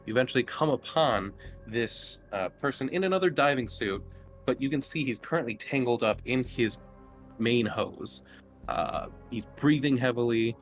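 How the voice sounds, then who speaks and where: A man narrating a tabletop horror game as game master in a halting, conversational way. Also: mid-range voice, soft background music.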